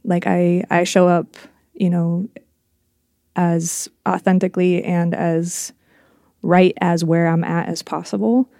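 A woman co-hosting a podcast in relaxed, reflective conversation. Her voice sits a little low with a gravelly edge and a mellow, warm tone. The sound is dry and close, and she often pauses to search for the right words. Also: no room sound. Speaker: podcast co-host. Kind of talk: casual conversational podcast chat.

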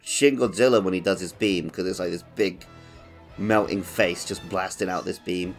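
A man's voice: low voice, normal speed, soft background music. A man co-hosting a casual gaming podcast, chatting in a jokey way and slipping into little mimicked quotes. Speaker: podcast co-host.

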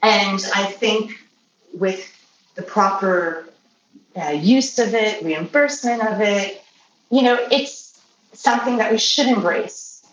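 A woman's voice: medium pitch, slow pace. Woman, native Polish speaker, roomy distant sound. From Poland or Polish-speaking family. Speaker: interview guest, healthcare professional. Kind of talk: conversational interview answers. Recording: roomy, distant mic, faint noise.

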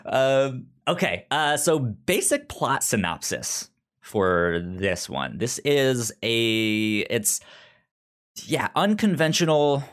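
A man's voice: medium pitch, takes it slowly. A man hosting a casual podcast, talking loosely with many fillers and frequent stuttered repeats of opening sounds. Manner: filler-heavy, relaxed. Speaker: podcast host.

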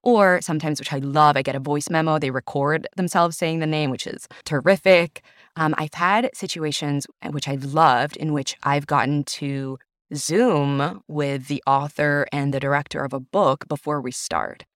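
A woman's voice: deep voice, fairly even intonation, normal speed, dry recording. A woman whose first language is Italian chats in a podcast interview about her work as an audiobook narrator and actor. She sounds eager and effusive and sprinkles her talk with "you know." The sound is close and dry, with no room around it.

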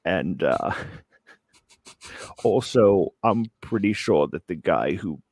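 A man giving a comic mock lecture in a put-on Australian accent, hesitant and deadpan. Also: low voice, takes it slowly, breathy edge, no room sound. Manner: putting on a joke Australian accent. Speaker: comic presenter giving a slideshow talk. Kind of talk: mock lecture, improvised comedy presentation.

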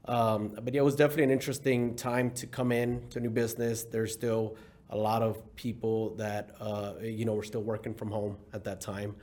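A man's voice: on the low side, little pitch movement, brisk, a little mellow. A middle-aged man born in Mexico, a company CEO as guest, talking in an easygoing way with fillers and restarts. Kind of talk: casual interview talk.

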